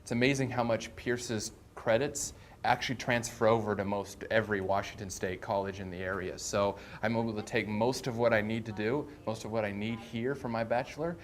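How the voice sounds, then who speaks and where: A man's voice: fairly low pitch, steady tone, a little fast. A young adult man, a college student, giving a casual testimonial.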